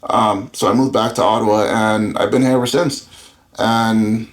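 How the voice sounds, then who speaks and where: A man's voice: fairly low pitch, fairly even intonation, moderate pace. A man in his thirties who grew up in Florida, a guest telling his story in candid, rambling casual talk with many fillers. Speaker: guest entrepreneur. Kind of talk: casual interview chat.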